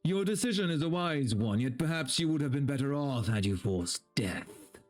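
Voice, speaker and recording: A man's voice: medium pitch, animated, moderate pace, soft background music, close to an everyday voice. A man voicing a stern, sadistic male soldier with a menacing, formal, declamatory delivery in a fantasy story reading. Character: stern, threatening, sadistic soldier guarding a captive.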